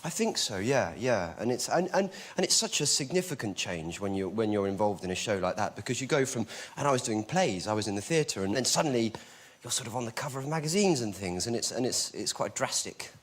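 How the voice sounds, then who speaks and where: A man being interviewed casually, halting and repeating himself as he goes. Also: medium pitch, big pitch swings.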